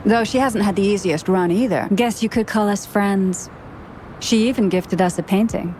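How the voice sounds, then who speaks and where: A woman's voice: medium pitch, moderate pace, a little mellow, a little hiss or hum, natural voice, low-quality recording. A woman voicing a blunt, streetwise exile, talking in a brusque, offhand way. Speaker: game character. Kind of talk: game dialogue voice acting.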